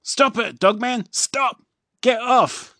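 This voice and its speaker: An Aussie dad putting on a frazzled cartoon police chief with a voice pushed way up high and shrill. He barks commands and scolds in a dry, close sound. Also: very animated, a little fast, no room sound. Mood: yelling. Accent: Australian. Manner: yelling, exasperated scolding. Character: angry shouting male police chief.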